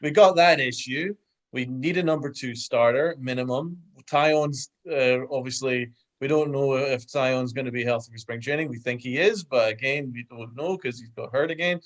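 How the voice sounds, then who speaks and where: A man's voice: medium pitch, normal speed. A man co-hosting a casual sports podcast over a video call, giving blunt, emphatic opinions. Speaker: podcast co-host.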